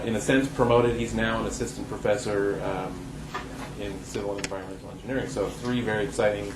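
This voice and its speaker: Male seminar host, middle-aged, casual introduction with pauses; echoey room, noisy recording. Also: medium pitch. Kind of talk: informal spoken introduction at a seminar. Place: university lecture room, indoors. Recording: roomy, echoey lecture room with clear noise.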